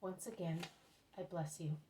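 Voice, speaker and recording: A woman reading aloud as a calm, loving male teacher who speaks with quiet authority. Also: measured pace, a little hiss or hum, close to an everyday voice, rough recording. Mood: gentle. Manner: low, gentle and commanding.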